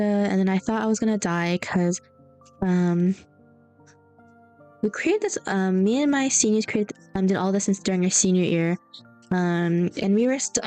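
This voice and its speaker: Casual young woman streamer rambling along while drawing, soft music in the back. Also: mid-range voice. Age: young adult. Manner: rambling, chatty storytelling. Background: faint background music.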